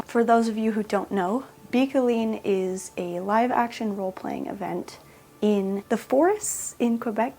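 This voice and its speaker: A woman's voice: medium pitch, darkish tone. A woman vlogger talking casually to camera, chatty and self-mocking, often laughing in the middle of a sentence.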